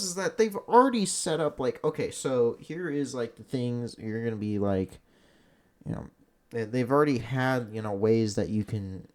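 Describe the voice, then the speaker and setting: Exasperated fan commentator for a sports rant; mid-pitched, very animated delivery, blunt, sweary and emphatic. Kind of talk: unscripted opinionated sports rant.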